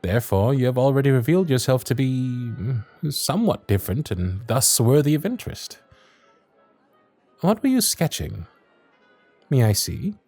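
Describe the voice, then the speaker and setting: A proud young Victorian lord in an ASMR audio drama, speaking in formal, sardonic period phrases, as a man acts him out. His voice is husky and lively in pitch. Faint music plays behind him. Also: heavily acted. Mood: teasing.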